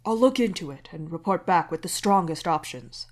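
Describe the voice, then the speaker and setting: A woman's voice: lowish voice, lively intonation, a little mellow, natural voice. A woman voicing a broad-shouldered male alien troll engineer in an audio story, formal, precise and serious. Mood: formal, matter-of-fact. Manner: formal, precise, measured explaining. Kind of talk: podfic character acting.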